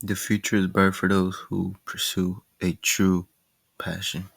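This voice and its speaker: Teen male documentary narrator, deep and level, dry recording over faint music. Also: little pitch movement, unhurried, vocal fry, fairly bright tone, no room sound. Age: teens. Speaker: student athlete narrating documentary. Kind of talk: scripted personal documentary narration.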